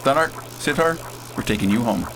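A man playing a bold scientist who leads a space crew in a radio drama, brisk, folksy and commanding. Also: mid-range voice, expressive, over music, close to an everyday voice. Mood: grave, determined. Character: bold, decisive space-hero scientist and leader.

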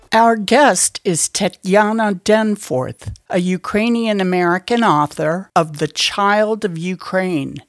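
A woman hosting a weekly radio interview show, giving measured, formal introductions and asking questions. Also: mid-range voice, no echo. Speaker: radio host.

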